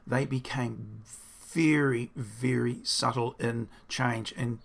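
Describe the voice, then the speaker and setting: A man, a builder, talking through his design in a casual diary-style video, with a croaky, hoarse voice, rambling and self-deprecating as he thinks aloud. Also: medium pitch, fairly even intonation, takes it slowly.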